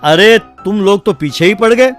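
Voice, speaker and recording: A man's voice: high-pitched, normal speed, soft background music, close to an everyday voice. A man voicing a cocky, secretive village uncle who brushes children off in a cartoon comedy story. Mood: dismissive, secretive. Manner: colloquial, boastful, brushing children off.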